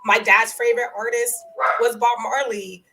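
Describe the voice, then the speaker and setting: Lively, laughing woman dentist, higher voice. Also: moderate pace, gravelly, noisy background. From United States, family from Guatemala. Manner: animated storytelling, laughs often, acts out quoted voices. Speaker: dentist, podcast guest. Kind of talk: casual conversational interview.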